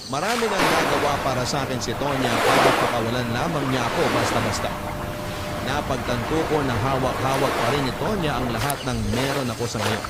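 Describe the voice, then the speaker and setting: A man's voice: medium pitch, a little fast, very noisy. A man narrating a scripted, dramatic first-person love story as a confession. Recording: loud music bed under the voice. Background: loud background music.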